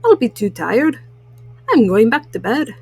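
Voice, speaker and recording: Woman putting on a grumpy, husky, sleepy storybook toad. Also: on the high side, very animated, a little slow, a little mellow, full character voice. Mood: weary, stubborn. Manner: grumbling, weary, stubborn.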